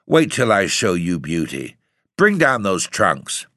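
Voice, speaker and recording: A man voicing a sharp-tongued, bedridden old aunt who speaks with open contempt. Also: sits low, huge pitch swings, slow, no room sound, clean studio sound, full character voice. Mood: scornful. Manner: contemptuous, commanding.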